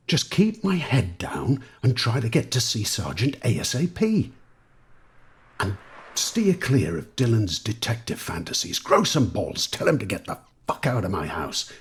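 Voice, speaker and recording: Troubled gambler guy for an audio drama, rough husky low voice, cynical and sweary. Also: exaggerated voice. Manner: muttering to himself, sweary, sardonic. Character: troubled gambling addict, cynical, scheming, self-doubting man. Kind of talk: naturalistic audio drama acting, part soliloquy.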